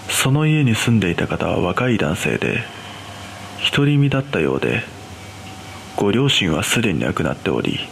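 Male horror narrator acting out an uneasy cleaner recounting something eerie; lowish pitch, expressive swings, noisy recording. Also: creaky, full character voice. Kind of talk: scripted first-person ghost story narration.

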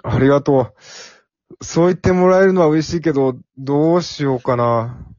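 A man's voice: on the high side, moderate pace, darkish tone, no echo, dull audio, lightly acted. A man playing a timid, earnest young office worker in an amateur radio drama, with plain boyish phrasing. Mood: hesitant.

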